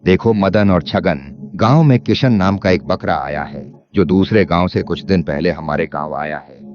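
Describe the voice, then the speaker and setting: A man playing a cunning, greedy village landlord in an animated moral story, speaking with sly emphasis. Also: deep voice, normal speed, close to an everyday voice. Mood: scheming. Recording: dull lo-fi sound under music.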